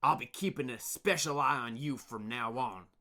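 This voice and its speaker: A man voicing an angry, bossy adult team leader who shouts accusations at workers. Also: medium pitch, normal speed, close to an everyday voice. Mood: suspicious.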